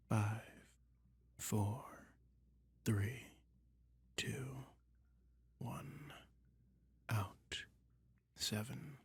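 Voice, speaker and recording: A man plays a dragon lurking in his cave, soothing and quietly teasing. The voice is a very breathy whisper with a gravelly creak. He speaks extremely slowly, in a hypnotic ASMR roleplay manner. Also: on the low side, exaggerated voice. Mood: calming, lulling. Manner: slow, lulling, teasing. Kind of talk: soft-spoken ASMR roleplay with hypnotic relaxation.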